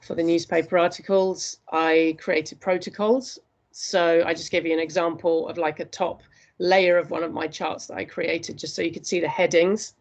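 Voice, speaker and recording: A woman presenting her postgraduate dissertation research in an earnest, steady academic talk, with frequent hesitations. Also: sits low, vocal fry.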